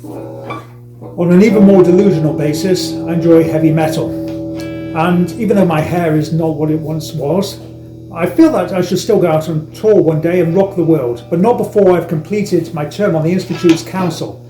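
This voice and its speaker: Deadpan Manchester man in his fifties. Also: on the high side, moderate pace, over music, poor audio. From England. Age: 50s. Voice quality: deadpan self-mocking delivery. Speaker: election candidate. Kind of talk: scripted campaign address with dry jokes.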